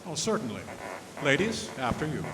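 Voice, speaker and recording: Man playing a courtly, animated gentleman husband, echoey and noisy. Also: on the high side, moderate pace. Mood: courteous. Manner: courtly, formal phrasing. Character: genteel, affectionate husband and adventurer, reverend in 1908. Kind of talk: old-time radio drama acting. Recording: noisy, echoey live stage recording.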